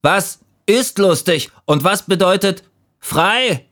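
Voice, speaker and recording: Male reader as small stubborn leaf, timid, high voice, children's story. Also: animated, slow, a bit bright, heavily acted. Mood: anxious.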